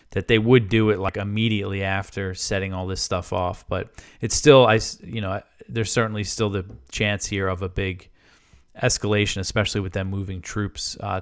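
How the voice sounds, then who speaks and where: A man's voice: on the low side, clean tone. A man hosting a news show, reading stories and quotes in a matter-of-fact, informal way with casual asides.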